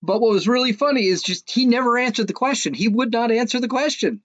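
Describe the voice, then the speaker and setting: A man's voice: very high voice, animated, quick pace, a bit bright, no room sound. A man hosting a podcast, ranting casually in a mocking, scoffing way, rambling with frequent restarts. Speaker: podcast host. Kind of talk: casual opinionated rant.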